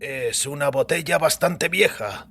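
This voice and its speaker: A husky old priest, higher pitched, creaky, weary and ceremonious, slow savoring audio drama delivery. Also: male voice, a bit bright, full character voice. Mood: wistful. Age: older. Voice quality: raspy, creaky.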